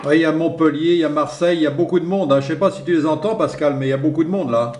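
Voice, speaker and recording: A man's voice: on the high side, rapid-fire, a crowd in the background. Easygoing man on echoey radio. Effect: two-way radio transmission. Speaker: amateur radio operator. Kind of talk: casual radio contact chat. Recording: echoey two-way radio transmission.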